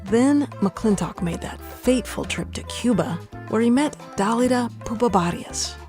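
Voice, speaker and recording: A woman radio reporter narrating a news feature in a measured, even way. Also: on the high side. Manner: measured, even storytelling delivery. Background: band music under the voice.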